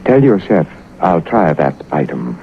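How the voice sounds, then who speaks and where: A man acting a wary, sharp-witted British intelligence agent in a theatrical 1940s radio drama style. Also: low-pitched, sing-song, unhurried, creaky, heavy background noise, heavily acted. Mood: grave, suspenseful. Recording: muffled old radio recording with music.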